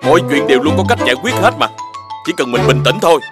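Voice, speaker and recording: Lively, fast-talking kindly animal elder acted by a man. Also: a little high, loud music behind the voice, natural voice. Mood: patient, instructive. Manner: instructive, reasoning with questions. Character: kindly adult animal elder settling children's quarrels. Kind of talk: cartoon dubbing.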